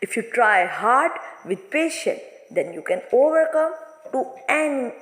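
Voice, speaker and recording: A reverberant, echo-heavy recording of a woman giving a lesson. Her voice sits a little high. She explains a moral story for students in hesitant phrases with non-native English grammar. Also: big pitch swings, a little slow. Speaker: teacher. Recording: echoey room with long reverb.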